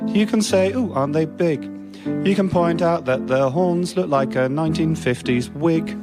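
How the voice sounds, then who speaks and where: A man's voice: fairly high pitch, moderate pace, music in the background, lightly acted. A British man playing a long-suffering, resigned zookeeper, singing a lilting comic song. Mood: weary, exasperated.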